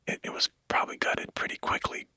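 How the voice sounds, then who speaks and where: Male whisperer for an unscripted monologue: breathy, hissy-bright, hesitant and casual, recorded very dry. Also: fairly quick, no echo. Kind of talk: whispered rambling monologue, like soft-spoken ASMR.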